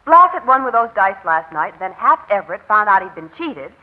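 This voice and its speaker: An actress with an American accent playing an eager, sharp young woman sidekick in a 1940s radio drama. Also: mid-range voice, very animated, brisk. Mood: eager, knowing. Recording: muffled old radio broadcast with music.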